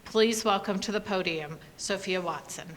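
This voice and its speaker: A woman host giving a formal, measured scripted introduction of a lecture speaker. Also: medium pitch, very noisy.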